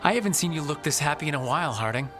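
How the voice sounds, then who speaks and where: An actor plays an easygoing man who leads a band of adventurers in a video game. His delivery is expressive and varied, encouraging with a teasing edge. Music plays clearly underneath. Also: on the high side, very fast, close to an everyday voice. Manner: relaxed, encouraging, playfully teasing.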